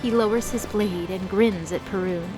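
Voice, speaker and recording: A woman's voice: medium pitch, normal speed, poor audio. A woman narrating an audio drama in a scripted style with wry, casual asides. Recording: music underneath.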